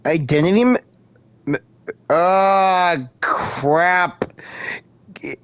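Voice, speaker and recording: A woman streaming a game with casual commentary, thinking aloud in a halting way. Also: fairly low pitch, clear, resonant tone, dry recording.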